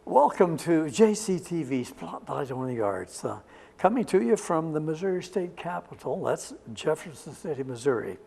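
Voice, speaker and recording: A man hosting a local TV arts interview, speaking in a halting way with a formal, welcoming style. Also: a little high, big pitch swings, gravelly, dark tone, slightly rough, big-room sound. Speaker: TV arts show host.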